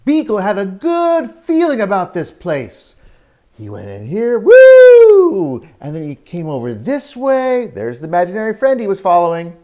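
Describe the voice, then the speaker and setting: A man reading a picture book aloud to young children as their teacher, expressive, drawing out story lines and adding exclamations and questions for the listeners. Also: high-pitched, a little slow. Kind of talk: animated read-aloud storytelling with asides to children.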